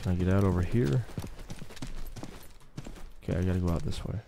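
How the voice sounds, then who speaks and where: A man's voice: low voice, brisk, creaky, background noise. A man doing casual, sweary gameplay commentary, muttering to himself as he plays. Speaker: gamer, video host.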